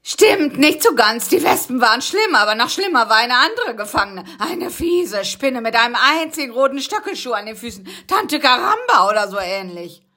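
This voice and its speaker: A woman voicing a cowardly male assassin bug thief who whimpers and pleads in a very high voice. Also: big pitch swings, moderate pace, hardly any pauses, bright, forceful tone, exaggerated voice. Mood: plaintive.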